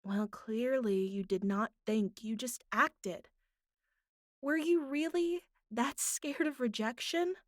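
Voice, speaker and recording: A woman playing a bratty but vulnerable young captive in an intimate audio roleplay, speaking haltingly with pleading, pouty turns and soft breathy asides. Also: fairly high pitch, dry recording, studio quality. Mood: teasing, bratty.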